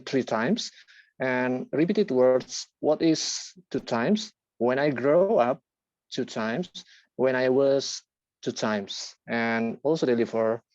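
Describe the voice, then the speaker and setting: A man reading out a filler-word tally at a club meeting, in a list-like, halting way with frequent "uh". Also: mid-range voice, a little mellow, no room sound. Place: online video meeting. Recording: dull lo-fi call audio.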